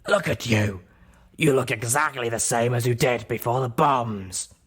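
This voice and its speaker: A man voicing a cracked undead pony doctor in an audiobook, muttering obsessively in a gravelly rasp. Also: mid-range voice, a bit bright. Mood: obsessed ranting. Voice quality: raspy, gravelly. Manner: slow, muttering, rambling to himself. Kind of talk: audiobook character voice.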